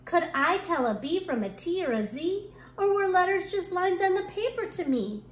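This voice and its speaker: A woman kindergarten teacher reading a rhyming picture book aloud to young children in an expressive, deliberate storytelling manner. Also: extremely high pitch. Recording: echoey room with clear background noise.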